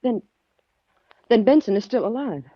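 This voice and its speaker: A woman playing an anxious, guarded daughter of a murdered man in a 1940s radio drama, speaking in a pleading, halting way. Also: a little high, expressive, breathy edge, no room sound. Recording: muffled 1940s radio recording.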